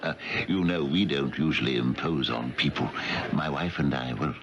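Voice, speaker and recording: An actor playing a mild, hesitant country parson, humble and politely apologetic, in a radio drama. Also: male voice, rumbling low, normal speed. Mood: bashful, friendly. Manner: hesitant, apologetic, chuckling modesty. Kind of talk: 1970s radio drama acting. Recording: dull, noisy old radio recording.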